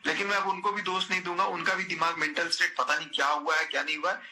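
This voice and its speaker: A man's voice: a little high, flat delivery, fast, bright, forceful tone, faint music behind. A man in a personal phone conversation, speaking insistently and repeating his phrases. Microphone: phone call. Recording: recorded phone call with faint noise.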